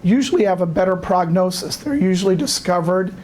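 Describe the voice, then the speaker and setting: Hoarse man lecturing with many pauses. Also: sits high, lively intonation, darkish tone. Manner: halting, many filler sounds, clears throat often. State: hoarse from a cold. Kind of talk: academic lecture.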